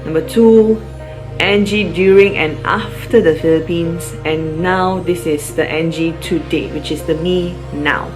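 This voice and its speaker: A woman's voice: lowish voice, steady tone, unhurried. Chatty Malaysian woman, music underneath. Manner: chatty, rambling with fillers and restarts. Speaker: vlogger. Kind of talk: casual personal vlog.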